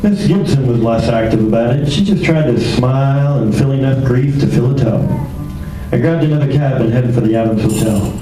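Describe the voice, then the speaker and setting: A man playing a sardonic, hard-boiled private detective in a radio play, flippant and deadpan. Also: medium pitch, brisk, music in the background, lightly acted. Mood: wry. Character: wisecracking, hard-boiled male private eye. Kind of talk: old-time radio detective play, narration and dialogue. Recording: very echoey room, distant mic, noisy.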